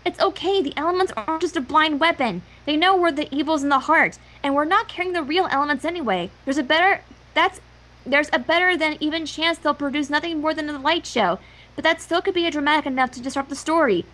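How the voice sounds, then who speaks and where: A woman's voice: sits high, fast talker, a bit bright. A woman voicing a brainy, resolute little female toy pony in an amateur fan fiction reading. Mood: analytical, reassuring.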